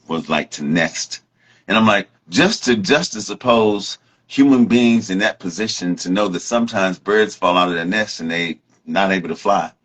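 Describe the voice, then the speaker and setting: A man hosting a casual talk, commenting reflectively in a halting way as he repeats and rephrases himself. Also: fairly low pitch, sharp tone. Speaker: host.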